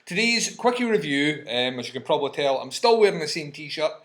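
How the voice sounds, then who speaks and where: A man's voice: on the high side, sing-song, a little fast, fairly bright tone. Chatty Scottish man reviewing on webcam. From Scotland. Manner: chatty and swears freely. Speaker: music reviewer. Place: small room at home.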